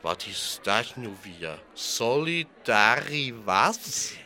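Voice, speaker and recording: A man's voice: medium pitch, sing-song, slow, sharp tone, heavily acted. A man playing a naive, plaintive little milk bottle in a radio play, with a Rhineland accent and a simple, folksy, questioning manner. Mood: puzzled, naive. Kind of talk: German political radio play.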